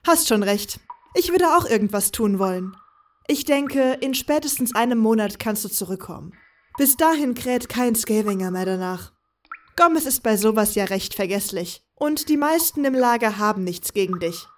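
A woman acts out a young woman with a high voice, set a little back from the mic. She is breezy and sure of herself, always ready with a teasing jab. It is audio drama, played as a sneaky, carefree companion. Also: expressive, moderate pace, distant mic, lightly acted. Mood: casual, encouraging. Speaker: voice actor in a fan audio drama. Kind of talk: German fan audio drama acting.

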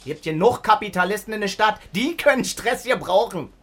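A brash Berlin-accented voice by an actor plays a streetwise car renter in a radio drama. The delivery is gruff, taunting and very animated in pitch, over faint ambience. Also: a little fast, background noise, natural voice. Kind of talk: radio crime drama dialogue.

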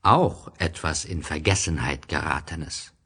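A man voicing a gentle, wistful male fox in a storybook, speaking earnestly and patiently like a wise teacher. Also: very deep voice, unhurried, breathy edge, full character voice. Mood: explaining.

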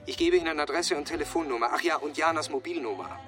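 An actor playing an evasive, self-important husband with a brusque manner in a radio drama. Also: male voice, a little high, quick pace, music in the background, natural voice. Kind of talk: German radio drama dialogue.

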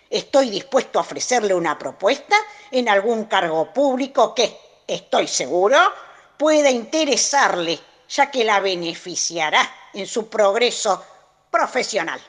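Pompous, manipulative grandfather played by a woman, lively intonation. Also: medium pitch. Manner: formal, pompous. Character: pompous, manipulative grandfather of influence, writing letters. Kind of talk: letter read aloud in audio drama.

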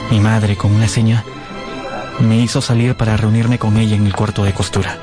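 An actor playing a lovesick young gentleman who tells his own romance in an earnest, formal, literary way for a radio drama. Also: lowish voice, little pitch movement, brisk, lightly acted. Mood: earnest narration. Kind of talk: radio drama narration and dialogue. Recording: music under the voice. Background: music.

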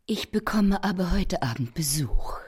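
A cold, scornful woman in a radio drama. Her voice is very low, and she is curt and biting, with lively turns of pitch. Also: moderate pace, slightly rough. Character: cold, scornful woman living with an old singer. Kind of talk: German radio play acting.

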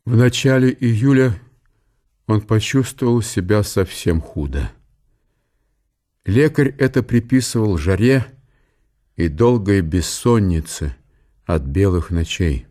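A man's voice: fairly low pitch, expressive, takes it slowly, pauses often, warm tone. A man narrating a historical radio play as scripted literary storytelling.

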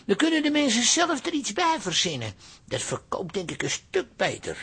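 A man's voice: high-pitched, wildly swooping pitch, moderate pace, music in the background, heavily acted. An actor in a radio play playing a blunt, simple older man, plain-spoken and hesitant. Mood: blunt, matter-of-fact. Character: blunt, simple older man, naively lewd art viewer. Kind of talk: Dutch radio play acting.

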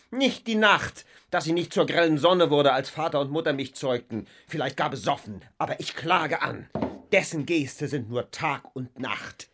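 A man's voice: on the high side, a bit bright, slight background noise, close to an everyday voice. An actor playing a bitter, anguished man on crutches in a German radio drama, with brooding, declamatory delivery. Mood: defiant, accusing.

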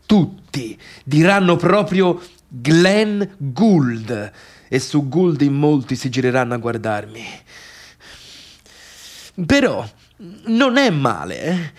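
An actor playing a middle-aged failed pianist, bitter and obsessive, in a scornful, sarcastic theatrical monologue about the sister who left him. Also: male voice, high-pitched, full character voice. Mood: brooding, sardonic. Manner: scornful, sarcastic, brooding, swinging between muttering and outbursts.

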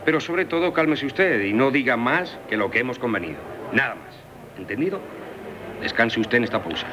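An actor playing a composed, authoritative lawyer in a 1970s radio drama, measured and formal. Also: male voice, medium pitch, animated, bright, forceful tone, highs cut off. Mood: firm, calming. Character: composed, authoritative male lawyer, calming and matter-of-fact. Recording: 1978 radio recording, faint noise.

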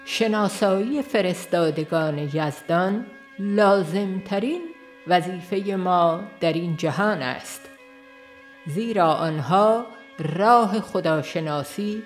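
A woman reads a religious book as scripted audiobook narration, in a measured, formal, literary manner. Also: fairly low pitch. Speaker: audiobook reader.

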